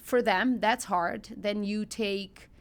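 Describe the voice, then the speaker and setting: A woman's voice: medium pitch, fairly even intonation, takes it slowly, clear, resonant tone. A middle-aged woman from Ukraine with a Ukrainian accent, telling personal stories conversationally as a podcast guest, earnest and emphatic.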